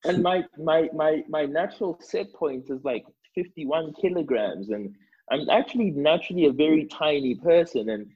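A man speaking reflectively on a panel, repeating words haltingly as he thinks aloud, with a husky voice. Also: on the high side, darkish tone. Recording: dull lo-fi recording.

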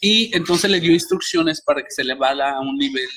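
A man's voice: on the high side, fairly quick, fairly bright tone. A man hosting a podcast, telling stories animatedly with many restarts. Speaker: podcast host. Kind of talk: casual interview chat.

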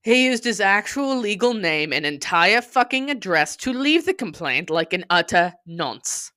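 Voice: mid-range voice, big pitch swings, unhurried, bright, forceful tone, dry recording, clean studio sound. A podcast host delivering dramatic scripted storytelling in a theatrical, sardonic, verbose manner with scornful emphasis.

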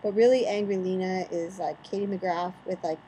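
A woman's voice: on the low side, fairly even intonation, moderate pace, dark tone, slight background noise, poor audio. A woman co-hosting a podcast in casual chat, arguing her points with rhetorical questions. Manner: opinionated, builds arguments with rhetorical questions. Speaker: podcast co-host.

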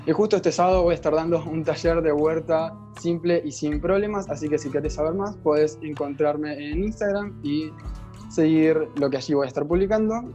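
A man's voice: fairly high pitch, dark tone, lo-fi sound. A man with an Argentine accent, hosting a podcast and introducing an interview. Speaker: podcast host.

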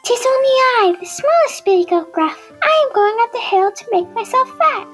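A woman's voice: expressive, normal speed, fairly bright tone, soft background music. A young girl playing a small, timid kid goat who pleads in an amateur folktale audio drama. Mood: timid, pleading. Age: child. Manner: squeaky, pleading.

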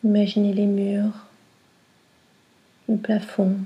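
Softly spoken woman with a very mellow voice. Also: medium pitch, monotone, rough recording. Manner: soft, breathy, soothing delivery with short phrases and long pauses. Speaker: yoga nidra guide. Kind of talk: guided relaxation meditation.

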